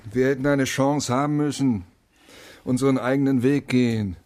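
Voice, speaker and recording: An actor playing an aggrieved, idealistic East German husband in a radio drama, resentful and declamatory. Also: male voice, medium pitch, fairly even intonation, moderate pace, darkish tone, slight background noise, close to an everyday voice. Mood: wounded, indignant. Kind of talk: naturalistic radio drama acting.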